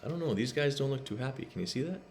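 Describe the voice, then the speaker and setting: Male storybook reader, mid-pitched, very lively intonation, expressive and chatty with young listeners. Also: rapid-fire, a little hiss or hum. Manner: expressive, asks listeners questions. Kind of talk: read-aloud to children with chatty asides.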